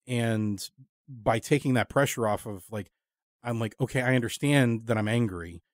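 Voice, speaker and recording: Low-voiced man, dry close sound, candid chat. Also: no room sound. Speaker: podcast host and interviewer. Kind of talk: casual, candid podcast conversation.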